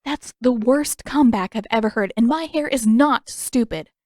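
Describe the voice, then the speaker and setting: A woman's voice: on the high side, moderate pace, darkish tone, no echo, clean studio sound, voice pushed up, close to an everyday voice. A woman voicing a hot-tempered teenage girl who is defiant and sarcastic, in an audio fan fiction story. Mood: indignant, shouting. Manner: sarcastic, indignant.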